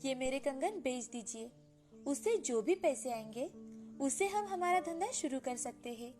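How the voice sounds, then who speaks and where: A woman plays a poor village wife and mother in a cartoon tale. Her voice is high, gentle and supportive, with light music behind it. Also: animated, unhurried. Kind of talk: animated moral-story dubbing.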